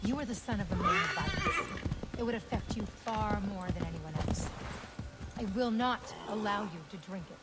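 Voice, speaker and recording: A woman's voice: mid-range voice, wildly swooping pitch, moderate pace, very noisy. A woman playing a grave, protective Native mother in a video game, speaking earnestly in formal, solemn phrases. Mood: solemn warning. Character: grave, protective Native mother warning her grown son. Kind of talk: dramatic video game acting. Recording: loud music behind the voice.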